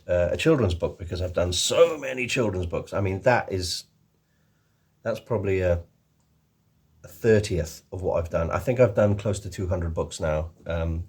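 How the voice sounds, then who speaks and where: Low husky man, half English half Welsh. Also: darkish tone. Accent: mix of English and Welsh. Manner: rambling, full of ums and restarts. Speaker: author, illustrator and voice actor. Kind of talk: casual video chat to camera.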